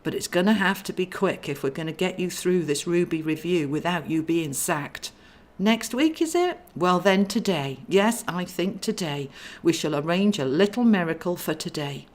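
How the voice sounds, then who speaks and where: A woman's voice: lowish voice, expressive, normal speed, natural voice. A woman playing a genteel, scheming brother angel. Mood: planning. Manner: genteel, musing, reassuring. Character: kindly, scheming male angel, reassuring older brother.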